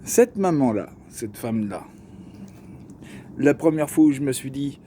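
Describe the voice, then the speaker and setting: A man's voice: mid-range voice, expressive, unhurried, mellow, slight background noise. A young man with a Parisian accent telling a personal story to camera, slangy and joking, with lots of fillers. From Paris region. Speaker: vlogger.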